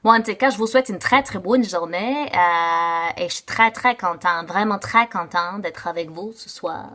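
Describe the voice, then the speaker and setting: A woman's voice: fairly low pitch, moderate pace, bright, forceful tone. A woman doing a comic monologue as a Frenchwoman who woke up Québécoise, speaking in a nasal, exaggerated Québécois accent and piling on local expressions. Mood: chatty. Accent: exaggerated Québécois French, put on. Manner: cheerful, chatty, lays on Québécois expressions. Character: French woman turned Québécoise overnight, giddy and proud. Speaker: comedian. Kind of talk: improvised comic monologue to camera. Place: at home.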